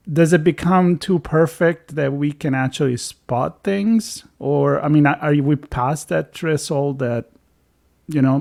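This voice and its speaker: Co-host, man with an Italian accent, mid-pitched, musing and joking. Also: vocal fry, darkish tone. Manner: musing, joking, hesitant restarts. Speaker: podcast co-host. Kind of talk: casual interview chat.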